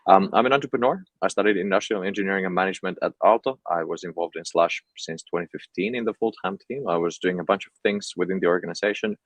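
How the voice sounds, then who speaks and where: A man's voice: low-pitched, fast talker, few pauses, no room sound. A young man from Finland with a Finnish accent, talking casually as an entrepreneur guest in an interview. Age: young adult.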